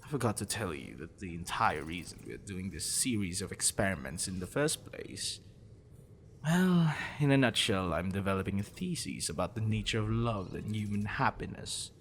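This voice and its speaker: Man acting a gravelly, sadistic, teasing professor. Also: on the low side, animated, a little hiss or hum. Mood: intimate, condescending. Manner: condescending, teasing, deliberate, dropping into breathy whispers. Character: possessive sadistic male professor, obsessive, teasing, smugly intellectual. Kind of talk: ASMR roleplay, addressing the listener intimately.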